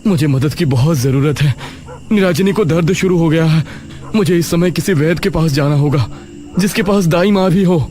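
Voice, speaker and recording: A man's voice: fairly high pitch, moderate pace, a little mellow, pitched above the performer's own voice, lightly acted. A man voicing an anxious young husband desperate for help in a horror story, over music. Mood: urgent.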